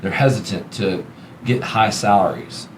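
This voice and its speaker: A man's voice: on the low side, a little slow, reverberant. A man from Texas with an American accent, talking casually as the host of a business podcast. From Texas, United States. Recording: clear background noise, room ambience.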